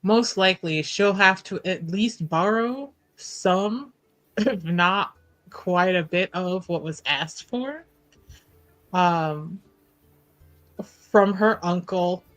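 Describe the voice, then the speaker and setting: A woman's voice: on the low side, low-quality recording. A woman chatting casually as a tabletop game player, thinking aloud with a giggly, informal manner.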